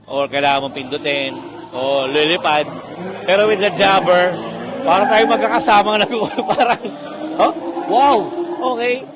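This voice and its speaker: A man's voice: high-pitched, a little slow, background noise. A man with a Filipino accent giving a casual testimonial, explaining things emphatically in mixed, conversational phrasing. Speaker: motorsport team member leading a group testimonial. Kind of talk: casual product testimonial, Taglish.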